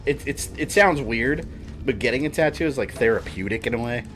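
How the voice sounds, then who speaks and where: A man's voice: medium pitch, expressive, fairly quick. A man in his thirties chatting casually and rambling while he streams a video game. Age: 30s. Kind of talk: casual chat while gaming.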